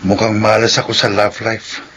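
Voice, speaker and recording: A man's voice: on the low side, monotone, normal speed, fairly bright tone, husky, poor audio. A man playing an earnest, heartbroken young man in love in a Filipino radio drama. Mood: dejected. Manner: earnest, lovelorn.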